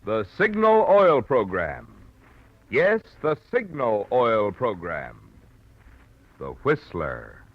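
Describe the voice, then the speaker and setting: A man's voice: medium pitch, wildly swooping pitch, slow. A man announcing for a sponsor on 1940s radio, with an emphatic, salesmanlike delivery. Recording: dull 1940s radio recording.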